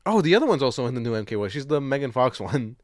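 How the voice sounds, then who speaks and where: A man's voice: medium pitch, big pitch swings, racing, no echo, clean studio sound. A young adult man co-hosting a podcast in casual movie chat, rambling, slangy and profane with a jokey manner. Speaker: podcast co-host.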